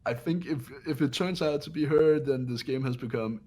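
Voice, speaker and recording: A man's voice: mid-range voice, moderate pace, mellow, a little hiss or hum. A man giving blunt, sweary casual commentary on a game with friends.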